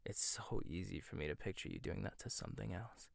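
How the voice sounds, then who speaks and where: A man performing a gentle, intimate ASMR roleplay monologue, hesitant at times and teasing at others. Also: low voice, gravelly, no room sound.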